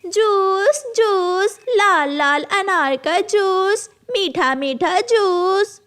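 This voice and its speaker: A woman's voice: extremely high pitch, very slow, fairly bright tone, voice pushed up, full character voice. A woman voicing a scheming, bossy female crow in a children's cartoon, with a pushy, sing-song delivery. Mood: hawking.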